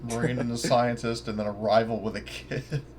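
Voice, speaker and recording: A man's voice: mid-range voice, flat delivery, moderate pace, heavy background noise. A man playing a tabletop roleplaying game, chatting casually and thinking aloud as he describes his character's actions.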